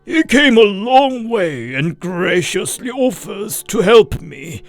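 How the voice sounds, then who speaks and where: Man acting a volatile alcoholic father, wildly swinging pitch. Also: very animated, slow pace, soft background music, exaggerated voice. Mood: effusive, fawning. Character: volatile alcoholic father, raving, fawning to an invisible guest. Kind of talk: dramatized storytelling.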